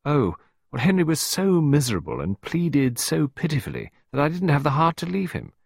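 A man's voice: a little high, wildly swooping pitch, normal speed, gravelly, breathy edge, no room sound, clean studio sound, voice pushed up, heavily acted. A man voicing a playful, composed married woman in an audiobook, airy and mock-dramatic. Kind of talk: audiobook character voice.

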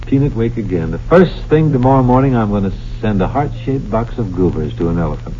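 A man with a low, husky, mellow voice hosts an old radio show, tossing off dry, playful wisecracks. His delivery swings up and down a lot. The sound is dull and muffled, like an old broadcast. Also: expressive, brisk, slight background noise. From Spokane, US Pacific Northwest. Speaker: radio show host and singer. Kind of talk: scripted comic radio banter.

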